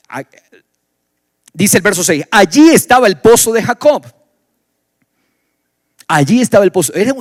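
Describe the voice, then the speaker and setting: A man from Ecuador preaching a sermon in Ecuadorian Spanish, moving between loud exclamations and low, quiet stretches. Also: dry recording, studio quality. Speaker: pastor. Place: church.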